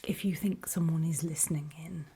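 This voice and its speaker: A woman playing a wary, paranoid zombie apocalypse survivor in a horror ASMR roleplay, in a soft breathy whisper with hesitant, confiding delivery. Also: low voice, fairly even intonation, full character voice. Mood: hushed, wary warning. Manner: slow, hesitant, confiding, with pauses.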